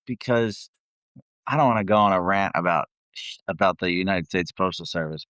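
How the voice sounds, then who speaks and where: A man's voice: low voice, a bit bright, clear, resonant tone, no echo. A man chatting casually as an interview guest, rambling and self-deprecating, with some swearing. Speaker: guest, metal band vocalist. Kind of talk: casual chat.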